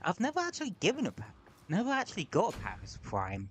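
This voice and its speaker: A man's voice: sits high, wildly swooping pitch, quick pace, a little hiss or hum. A man streaming a video game, chatting casually and trailing off into fillers as he plays. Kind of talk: casual gameplay commentary.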